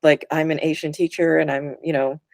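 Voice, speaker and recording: A woman's voice: low-pitched, flat delivery, brisk, creaky, no echo. A woman teacher being interviewed over a video call, talking casually and a bit hesitantly. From United States. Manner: hesitant, laughs often. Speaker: guest teacher.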